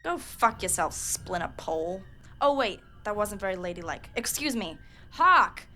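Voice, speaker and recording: A woman's voice: mid-range voice, very animated, a little slow, sharp tone, a little hiss or hum. An actress playing a foul-mouthed young street woman turned princess, brash and sarcastically mock-regal.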